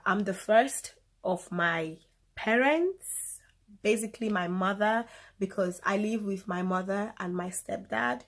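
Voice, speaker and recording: A woman's voice: mid-range voice, a bit bright. A woman in her twenties with a Cameroonian accent chats casually to camera as a vlogger, informal and given to emphatic repetition, recorded on a phone camera. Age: 20s.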